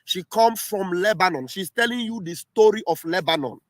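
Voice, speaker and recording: A man's voice: high voice, animated, normal speed, no room sound. Emphatic man hosting a livestream. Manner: emphatic, repeats himself often. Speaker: livestream host. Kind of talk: casual livestream commentary. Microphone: home livestream setup.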